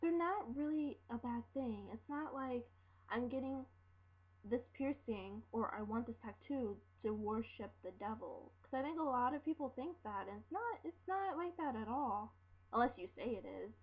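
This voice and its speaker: Teenage girl, casual vlog rant, fairly high voice, halting and rambling, muffled laptop mic. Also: lively intonation, husky. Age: teens. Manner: rambling, halting, with many fillers and restarts. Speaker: vlogger. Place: room at home. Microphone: built-in laptop mic. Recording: dull lo-fi laptop audio.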